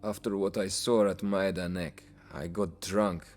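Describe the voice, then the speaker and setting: An actor playing a haunted, war-weary Soviet officer who talks in a troubled, bitter way. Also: male voice, low voice, steady tone, measured pace, a little mellow, faint music behind.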